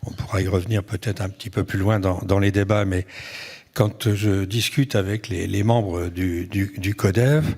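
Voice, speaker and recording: A man who heads a civic council, giving measured, halting, reflective remarks on a panel. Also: sits low, steady tone, a little mellow, slightly rough. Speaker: president of a citizens' advisory council. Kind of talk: round-table panel remarks.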